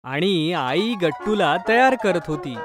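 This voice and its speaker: Male storyteller for kids' tales, notably high voice, scripted narration over soft music. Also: lively intonation, a little slow.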